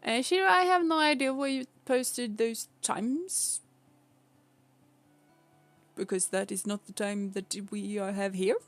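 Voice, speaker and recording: Young Swedish-accented woman streamer, chatty and giggly, pitched a bit high, soft music underneath. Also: unhurried, pauses often. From Sweden. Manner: chatty, laughs often, explains patiently. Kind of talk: casual live-stream chat answering viewers.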